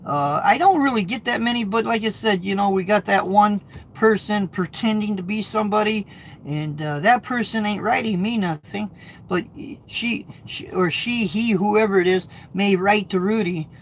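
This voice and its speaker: A man's voice: normal speed, a little hiss or hum, low-quality recording. A middle-aged American man, a truck driver, chatting casually to his viewers from his truck, rambling and restarting sentences as he reads comments. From United States. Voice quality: high-pitched, gravelly. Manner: rambling, repeats words, frequent restarts. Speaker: truck driver and video host.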